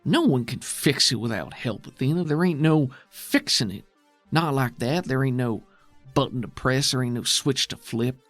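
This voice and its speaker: Tabletop RPG role, acted by a man: worried, bitter city loyalist, plainspoken and gruff, mid pitch, music bed. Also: lively intonation, moderate pace, a bit bright, dry recording, natural voice. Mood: bitter, indignant. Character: bitter, worried, steady man guarding his underground city.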